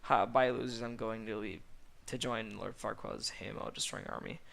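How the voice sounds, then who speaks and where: A man's voice: fairly low pitch, steady tone, normal speed, creaky, fairly bright tone. A man voicing a scornful, bossy young woman with a declamatory manner in a parody story.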